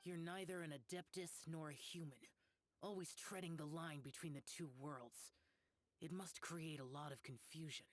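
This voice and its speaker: A man's voice: on the high side, steady tone, normal speed, lightly acted. A man voicing a cold stern young warrior. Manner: cold, curt, lecturing. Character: stern aloof young male adeptus warrior. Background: game music.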